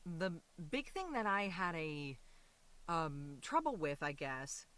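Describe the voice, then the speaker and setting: Bright-voiced chatty woman podcast guest. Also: deep voice, sing-song, takes it slowly. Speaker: interview guest, voice actress. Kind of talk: casual chatty podcast interview.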